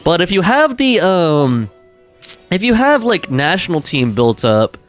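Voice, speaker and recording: A man's voice: sits high, very animated, a little slow, faint music behind. A man livestreaming a game, chatting casually with viewers and reading their messages aloud.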